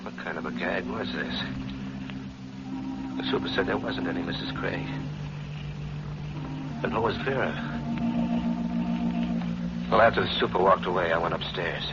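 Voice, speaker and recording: A man's voice: low voice, moderate pace, long pauses, over loud music. A man playing a jittery, defensive hard-boiled American narrator in an old-time radio thriller, slangy and dramatic. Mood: grim, haunted. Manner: slangy, stammering under pressure, dramatic. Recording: muffled, very noisy old radio recording.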